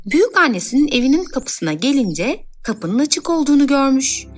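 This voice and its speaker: A woman narrates a children's fairy tale as a storyteller. Her voice sits a little high and moves in lively, expressive swoops. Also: normal speed, soft background music.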